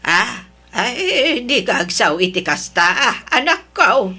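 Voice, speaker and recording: Radio drama: a woman playing a coaxing old mom, slow, bright, husky. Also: fairly high pitch, very animated, heavily acted. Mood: soothing. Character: older mother, teasing and soothing.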